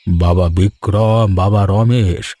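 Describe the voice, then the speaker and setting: A man voicing a patient, indulgent older village father-in-law who gently admonishes in an animated folk tale. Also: lowish voice, takes it slowly, soft background music. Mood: concerned.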